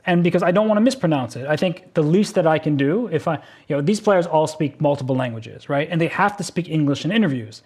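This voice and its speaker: A man's voice: on the high side, animated, quick pace, few pauses, a little mellow, slightly rough. A man commentating a blitz chess game, exclaiming at surprising moves and laughing easily. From United States.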